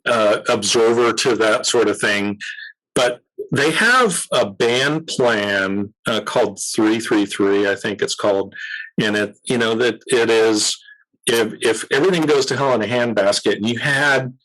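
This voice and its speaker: A man speaking as an interview guest, explaining radio in a conversational, measured way and repeating phrases for emphasis. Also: mid-range voice, fairly bright tone, poor audio.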